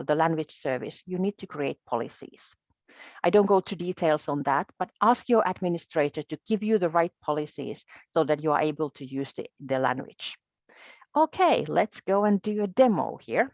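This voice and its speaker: Low-voiced woman from Finland explaining software. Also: no echo, muffled recording. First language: Finnish. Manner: explanatory, methodical, with small restarts. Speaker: presenter. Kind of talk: software demo walkthrough.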